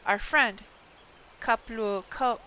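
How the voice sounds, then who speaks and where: A stately woman elder from a fantasy game, grave and ceremonious, speaking slowly in old-fashioned phrasing, as a woman reads her out. Her voice is fairly high and calm. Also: slight background noise.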